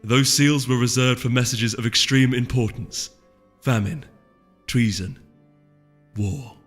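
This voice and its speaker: A man reading fantasy fiction as a story narrator, in an expressive, suspenseful scripted style. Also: mid-range voice, takes it slowly. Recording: long hall reverb under music.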